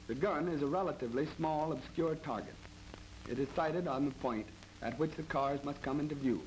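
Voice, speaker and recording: An American man narrates a military training film in a formal, measured, matter-of-fact 1930s instructional style. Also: on the high side. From United States. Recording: noisy old 1930s film soundtrack.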